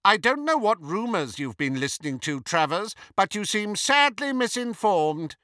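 A man voicing a haughty, contemptuous witch in an audiobook, shrill and cold, with a commanding manner. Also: animated, a little slow, pushed and bright, no echo, studio quality, full character voice. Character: haughty young witch imitating an imperious, contemptuous dark villainess. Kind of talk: audiobook character voice.

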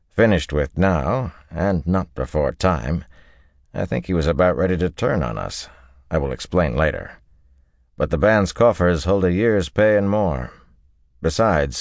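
A man voicing a calm, courteous nobleman officer in an audiobook, speaking politely and with measured assurance. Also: deep voice, no room sound, studio quality. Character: calm, courteous nobleman soldier, loyal second-in-command.

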